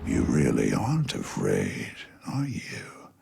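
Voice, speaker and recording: A man voicing a huge, ancient, menacing tree monster in a storybook reading, with a low, rumbling, breathy voice and slow, deliberate delivery. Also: huge pitch swings, mellow, soft background music, exaggerated voice. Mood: curious, looming.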